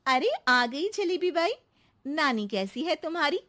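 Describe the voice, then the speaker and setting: Woman playing a lively, high-voiced cartoon housewife. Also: measured pace. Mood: curious. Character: practical, teasing housewife managing a troublesome maid. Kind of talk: Hindi cartoon voice acting.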